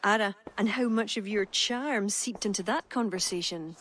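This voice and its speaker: A woman's voice: mid-range voice, normal speed, a bit bright, close to an everyday voice. Woman playing a wry, teasing Irishwoman. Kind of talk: video game cutscene acting.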